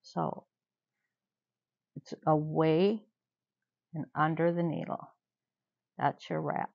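A woman's voice: low-pitched, steady tone, takes it slowly, creaky, darkish tone, no echo, highs cut off. A woman joining a stitching lesson, checking the steps and summing them up for viewers. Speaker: co-host asking clarifying questions. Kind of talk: craft lesson commentary.

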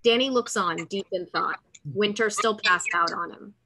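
A woman reading screenplay stage directions as scripted narration over a video call, measured and dramatic. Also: medium pitch, sharp tone, rough recording.

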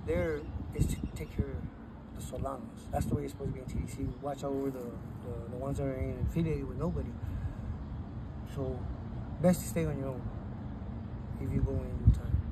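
A man's voice: medium pitch, brisk. A middle-aged man telling his story in a casual street interview, recorded outdoors beside a chain-link fence with a phone camera. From Texas. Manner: animated storytelling with hand gestures. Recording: outdoor street noise with passing cars. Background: traffic and street ambience.

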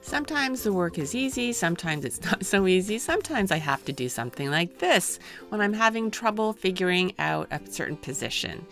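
A woman, a children's author presenting a picture book in an expressive, clearly enunciated storytelling way. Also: mid-range voice, a little fast, faint music behind. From Canada.

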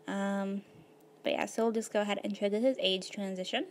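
A woman with a slightly hoarse voice, casually narrating her life-simulation gameplay at a computer, rambling with lots of fillers. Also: mid-range voice, steady tone, a little fast, slight background noise. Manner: rambling, filler-heavy, laid-back. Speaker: gaming video host.

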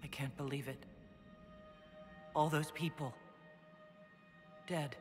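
A woman's voice: low-pitched, steady tone, takes it slowly, a little mellow, big-room sound, soft background music. An actress playing a bewildered woman prisoner in a fantasy video game, earnest, hesitant and guarded. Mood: shocked, defensive.

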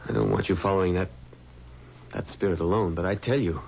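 Low-voiced, superstitious young assistant. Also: male voice, steady tone, a little fast, darkish tone. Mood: frightened, pleading. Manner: earnest, hesitant, pleading. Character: superstitious, fearful young male undertaker's assistant. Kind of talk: 1970s radio mystery acting. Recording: muffled, noisy old radio recording.

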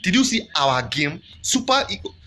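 A man's voice: on the high side, very animated, normal speed, pushed and bright, a little hiss or hum. A man playing a pompous self-proclaimed Nigerian chief with a put-on Nigerian accent, declaiming into a phone selfie camera. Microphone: phone selfie camera close up.

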